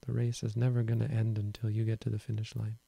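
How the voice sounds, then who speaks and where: A quiet-voiced man gives a calm, reflective Buddhist dharma talk. His voice is very dark and gravelly, with only a narrow rise and fall in pitch. The sound is dry and close. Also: fast, dry recording. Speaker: Buddhist teacher.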